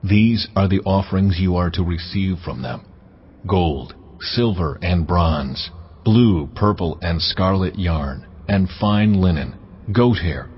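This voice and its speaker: A man voicing God in a dramatized scripture reading, speaking in a measured, commanding way. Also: low-pitched, lively intonation, a little hiss or hum. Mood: solemn. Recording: dull lo-fi audio, faint music.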